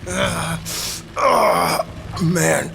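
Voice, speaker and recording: Give me a spent fighter, high and rasping, dragging his words. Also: fairly even intonation, extremely slow, bright, forceful tone, a little hiss or hum, full character voice. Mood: weakened.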